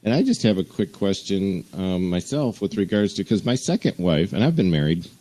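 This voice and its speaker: A man's voice: deep voice, big pitch swings, moderate pace, warm tone, dry recording, rough recording. A middle-aged man co-hosting a live video chat, friendly and organized in a wordy way. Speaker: co-host. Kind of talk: casual chat. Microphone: video call.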